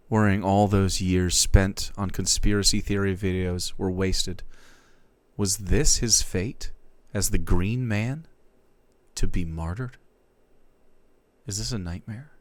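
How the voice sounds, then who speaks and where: A man streaming, reading fan fiction aloud in a theatrical, comic way, shifting between hushed and loud. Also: on the low side, slow pace, dry recording.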